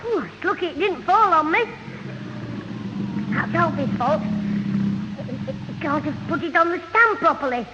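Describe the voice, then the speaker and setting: An actor playing a cheeky, scheming schoolboy in a radio sitcom, with a Northern English accent and a mock-innocent, quick-witted manner. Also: male voice, extremely high pitch, lively intonation, long reverb tail. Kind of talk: 1970 radio sitcom acting. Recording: muffled old radio broadcast, hollow, hissy.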